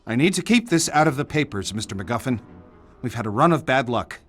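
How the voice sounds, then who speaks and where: An actor playing a proud, pressured senator and family patriarch in a video game, with a formal, authoritative manner. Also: male voice, medium pitch, animated, fairly quick, fairly bright tone, faint music behind. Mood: tense, threatening. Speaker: senator character. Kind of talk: dramatic video game dialogue.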